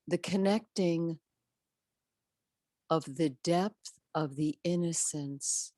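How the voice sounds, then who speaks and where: Slow, low-voiced woman leading gentle meditation. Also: no room sound, clean studio sound. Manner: gentle, soothing, measured with long pauses. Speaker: spiritual coach leading guided meditation. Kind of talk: guided meditation and prayer.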